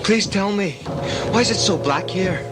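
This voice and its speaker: A man's voice: sits high, moderate pace, close to an everyday voice. An actor playing a bewildered, frightened dead man's lost soul, pleading anxiously in a sci-fi audio drama. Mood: frightened, confused. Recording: loud music under the voice.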